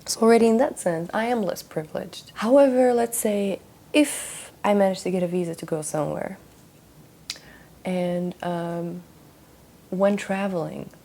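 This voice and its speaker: A young woman from Russia talks through her late-night painting session, tired after a long shift. Her voice sits low and gravelly, and she wanders through her thoughts with restarts and fillers. A faint hum of room noise hangs behind her. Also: warm tone. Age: young adult. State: exhausted after a work shift. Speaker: art vlogger. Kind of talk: casual late-night talk while painting.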